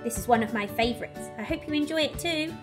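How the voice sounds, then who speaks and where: A woman with a high voice reads a children's storybook aloud. She is expressive and animated, leaning into the rhymes with dramatic emphasis. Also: fast, over music. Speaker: primary school teacher reading a bedtime story.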